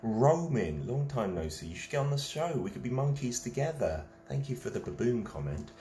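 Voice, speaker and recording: A man's voice: medium pitch, big pitch swings, moderate pace, roomy sound, background noise. A man, hosting a casual late-night live stream, reading out viewers' comments and chatting while he eats snacks. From England.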